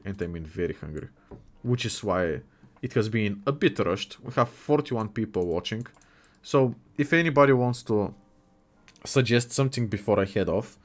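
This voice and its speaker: A young man hosting a casual livestream, chatty and jokey as he reacts to viewers' messages. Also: on the low side. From Bulgaria. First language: Bulgarian. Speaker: livestream host. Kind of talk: casual livestream chat, reading chat aloud.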